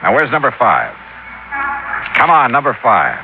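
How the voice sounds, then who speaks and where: A noisy, narrow-band old radio recording of a male hard-boiled investigator. His mid-pitched voice swings with emphasis, clipped, brusque and sardonic, in the manner of 1950s radio detective drama. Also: huge pitch swings, unhurried. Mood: impatient, accusing. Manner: clipped, sardonic, pressing questioner.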